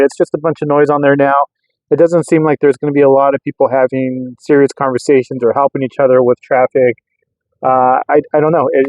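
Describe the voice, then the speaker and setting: A man casually rambling through a hobby product test in a hesitant, halting way, often repeating words. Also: medium pitch, dry recording. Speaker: radio hobbyist vlogger. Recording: narrow, phone-like, lo-fi sound.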